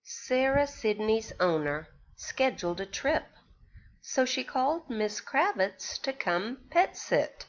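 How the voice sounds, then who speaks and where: A woman reads a rhyming picture book to little children in a slow, sing-song teacher's voice. Her pitch swings widely as she stops to ask the kids questions. The recording sounds dull and lo-fi. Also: big pitch swings. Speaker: pre-K teacher. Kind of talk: reading a picture book aloud to young children.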